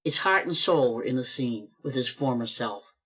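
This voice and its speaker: A man narrating an amateur radio play with expressive storytelling, read over a video call. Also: mid-range voice, moderate pace, slightly rough.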